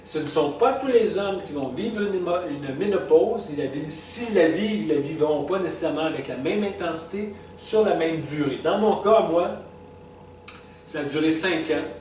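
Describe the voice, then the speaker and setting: A man in his sixties with a Québécois French accent, thinking back over the stages of his life in a halting, casual way, full of fillers, restarts and small chuckles. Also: a little high, animated, darkish tone, distant mic. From Quebec. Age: 60s. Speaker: retired vlogger reflecting on life stages. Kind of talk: casual spoken reflection. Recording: echoey room, speaker well back from the mic, faint hiss.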